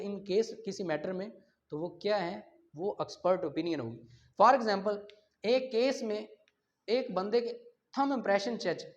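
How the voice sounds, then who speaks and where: A high-voiced man with a Pakistani accent teaches law in a didactic, explanatory way. He moves between statute wording and plain examples, posing questions as he goes. The recording is somewhat muffled and lo-fi. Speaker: law lecturer. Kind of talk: exam-prep lecture, explaining and reading out statute articles. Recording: dull, lo-fi lecture audio.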